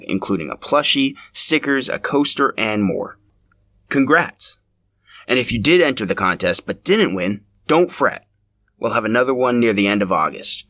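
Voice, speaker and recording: A man's voice: lowish voice, fairly bright tone. A man narrating a scripted podcast as its host.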